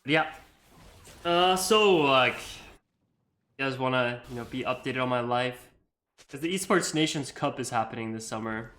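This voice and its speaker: Young adult man, American accent, bright voice, casual rambling gameplay commentary. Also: medium pitch, normal speed, pauses often. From Iceland. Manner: rambling, full of likes and you-knows. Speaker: gamer and video creator.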